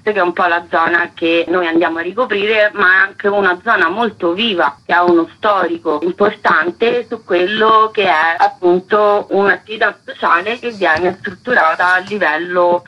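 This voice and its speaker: A woman speaking as a radio interview guest, explaining the work of her collective. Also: lowish voice. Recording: dull lo-fi radio interview line.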